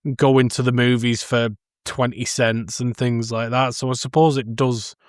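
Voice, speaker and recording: A man's voice: mid-range voice, little pitch movement, normal speed, no room sound, studio quality. A man co-hosting a podcast, chatting casually about films. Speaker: podcast co-host. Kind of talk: casual film discussion chat.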